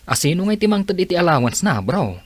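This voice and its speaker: A man's voice: high voice, big pitch swings, quick pace, breathy edge, no echo, exaggerated voice. A man with an Ilocano accent playing a skeptical, teasing village buddy in a radio comedy. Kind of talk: Ilocano radio drama acting.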